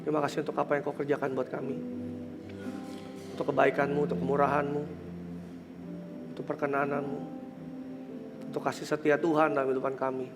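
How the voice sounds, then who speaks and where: A man's voice: a little high, completely flat delivery, fairly quick, pauses often, big-room sound, music in the background. A man with an Indonesian accent and a congested, nasal voice, preaching a church sermon and leading prayer, mixing in English phrases. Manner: mixes English phrases into speech, rises to emphatic preaching; soft murmured prayer. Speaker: pastor. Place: large church hall.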